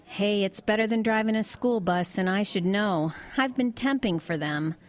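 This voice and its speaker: A woman's voice: medium pitch, normal speed, natural voice. An actress playing a blunt, nosy small-town woman in an audio drama, with a pressing, plain-spoken manner. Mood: skeptical, pressing. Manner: pressing, plain-spoken, exasperated folksy banter.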